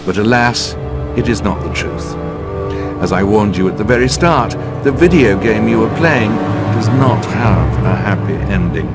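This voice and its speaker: Man as low-voiced, gloomy storybook narrator. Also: animated, moderate pace, close to an everyday voice. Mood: wry, regretful. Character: gloomy, solemn male narrator of woeful tales. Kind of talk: scripted storybook narration in a video game. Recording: loud music behind the voice.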